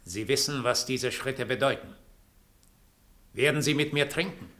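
An actor playing a calm, resigned man awaiting his own death. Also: mid-range voice, measured pace, natural voice. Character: fatalistic male double, calm.

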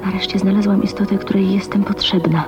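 An actress playing a kind young woman thinking aloud in a hushed, whispered radio drama monologue. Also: mid-range voice, little pitch movement, a little fast, natural voice. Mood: hushed joy. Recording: dull recording under music.

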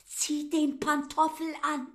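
Fussy anxious wife in a radio play, high and slow, kinda monotone. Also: female voice. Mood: anxious fussing. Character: fearful, fussing wife of an official. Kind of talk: radio drama acting.

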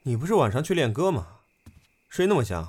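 A man's voice: mid-range voice, sing-song, fairly quick, a little mellow, breathy edge, long reverb tail. A man playing a cool, curt young idol group leader, offhand and dryly teasing, in an audio drama.

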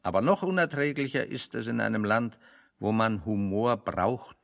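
Creaky-voiced, wry intellectual refugee. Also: male voice, lowish voice, moderate pace. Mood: dryly ironic, expounding. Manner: pedantic, dryly ironic, expounding. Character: wry, bookish intellectual refugee, man, holding forth on philosophy. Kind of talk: radio play dialogue, discursive monologue.

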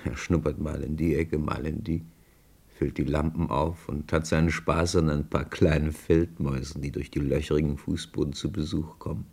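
A man narrating a scripted radio play as a measured storyteller. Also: a bass voice, level tone, gravelly, darkish tone, husky, exaggerated voice.

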